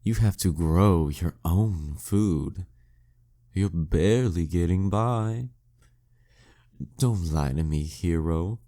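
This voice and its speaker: A man's voice: low-pitched, warm tone. A man playing a flirty, dominant demon king villain in an intimate audio roleplay, teasing and smug in manner. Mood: taunting, condescending. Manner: teasing, smug, slow deliberate delivery.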